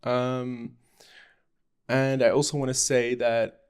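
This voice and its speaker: A man's voice: medium pitch, flat delivery, slow pace, smooth. A young man from Lebanon introducing himself and his show off the cuff, hesitant and rambling, with many ums and restarts. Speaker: podcast host. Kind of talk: unscripted personal introduction.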